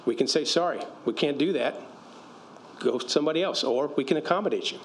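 Fast-talking man defending a deal. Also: on the high side, a little hiss or hum. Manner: firm, explanatory, quick-talking. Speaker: county official at a council meeting. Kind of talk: council debate. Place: council chamber. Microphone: desk microphone.

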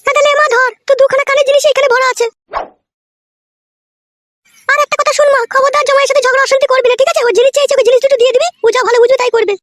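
A man voicing a bossy, snappish middle-aged village mother in a cartoon, scolding with a heavily pitched-up voice. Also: flat delivery, very fast, a bit bright, dry recording, clean studio sound. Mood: insistent. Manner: scolding, insistent. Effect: extremely pitched-up cartoon voice.

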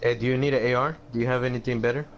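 A man's voice: mid-range voice, fairly even intonation, racing. Young man on muffled game chat. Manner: repeats short callouts. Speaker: video game player. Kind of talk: casual team gaming callouts. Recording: dull, noisy game voice chat.

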